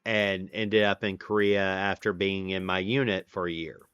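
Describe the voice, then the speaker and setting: A man's voice: sits low, fairly even intonation, moderate pace, fairly bright tone, clear, resonant tone, no echo, muffled recording. A man in his late thirties hosting a casual stream, rambling with lots of fillers and restarts. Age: 30s. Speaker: livestream host. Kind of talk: casual chat with viewers.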